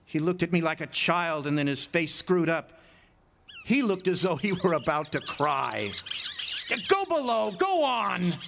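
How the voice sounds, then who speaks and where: A man playing a haunted lighthouse keeper, narrating a horror radio play with intense, deliberate suspense. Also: fairly high pitch, big pitch swings, creaky, a bit bright, heavily acted. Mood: horror struck, then alarmed, shouting. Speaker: narrator and lead actor. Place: outdoor amphitheater stage. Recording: noisy outdoor stage recording with birdsong.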